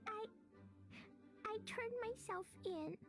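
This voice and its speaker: A woman voicing an excitable, guileless little girl in a video game, earnest and dramatic in a childlike way. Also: very high voice, takes it slowly, creaky, exaggerated voice. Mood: guilty, tearful. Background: music.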